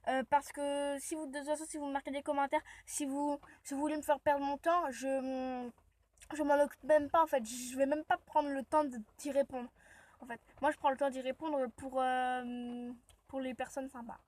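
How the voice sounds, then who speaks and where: A high-pitched woman talks casually to her viewers from inside a car, her throat sore from a cold. She rambles and chats loosely, story after story. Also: little pitch movement, normal speed, a little mellow. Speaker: vlogger. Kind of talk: casual vlog chat. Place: inside a parked car, rain outside.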